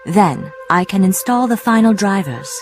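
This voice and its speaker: Company rep: polished, measured, businesslike, mid-register, light music underneath. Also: female voice, medium pitch, very animated, a little mellow. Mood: firm, grave. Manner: polite, measured salesmanship. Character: businesslike female tech company representative, courteous but firm. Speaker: company representative. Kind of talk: radio drama acting.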